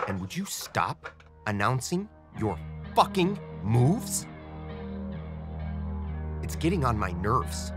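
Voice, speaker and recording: An actor playing a volatile captive chess machine with a puppet head, speaking deliberately and swinging between menace and pleading in a dramatic film style. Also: mid-range voice.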